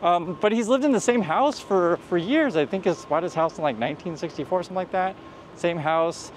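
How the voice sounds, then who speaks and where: A man's voice: high-pitched, fairly quick. Guy rambling on a walk vlog, restarts a lot, faint traffic behind him. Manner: rambling, many restarts and fillers, earnest advice. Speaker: vlogger. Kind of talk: casual vlog commentary while walking. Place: outdoors on a street near traffic.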